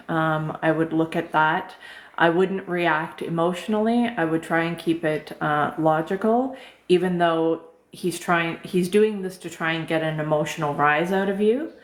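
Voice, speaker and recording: This is a soft-voiced woman coach who talks gently and casually, with frequent "um"s and the odd swear word. Her voice sits a little low for a woman. She is recorded with a noticeable roomy echo. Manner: gentle, informal, many fillers, occasional swearing. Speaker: life coach. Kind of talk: live-streamed talk answering chat questions.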